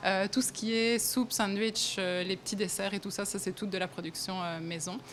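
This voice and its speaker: A woman's voice: medium pitch, fairly even intonation, moderate pace, slight background noise. A young woman from Belgium, a café owner, talking casually in an interview with frequent hesitations and small laughs. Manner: hesitant, many fillers, laughs often. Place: café, indoors.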